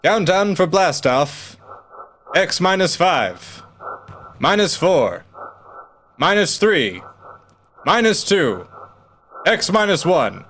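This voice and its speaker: A man narrating in a theatrical, deliberate style, like an announcer of vintage radio drama. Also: high-pitched, sing-song, fairly bright tone, a little hiss or hum.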